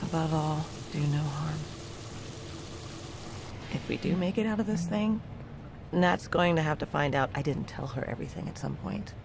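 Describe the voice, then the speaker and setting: A woman's voice: low voice, normal speed, vocal fry, long pauses, background noise. A woman voicing a conscientious, troubled ship's doctor in a game, hesitant and thoughtful in a naturalistic style. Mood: guilty, troubled.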